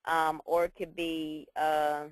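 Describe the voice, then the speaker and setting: A woman's voice: low-pitched, level tone, slow, no echo. A woman teacher explaining things informally on a class call, reassuring and repeating her key points. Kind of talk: informal spoken instruction.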